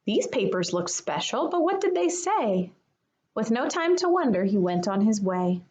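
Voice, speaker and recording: A woman, a kindergarten teacher, reading a children's picture book aloud in an expressive manner for young listeners. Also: a little high, moderate pace.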